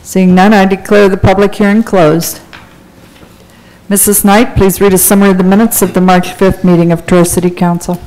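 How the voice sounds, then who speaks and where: A woman council president runs the meeting in a procedural, businesslike way. Her delivery stays flat and even throughout. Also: mid-range voice, moderate pace, low-quality recording. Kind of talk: chairing a meeting. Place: council chamber.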